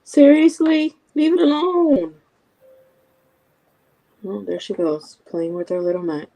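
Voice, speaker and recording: Woman co-host, mellow tone, chatty reactions. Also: medium pitch, sing-song, slow pace, gravelly, poor audio. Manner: chatty, reacts with short remarks and asides. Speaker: podcast co-host. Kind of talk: casual chat.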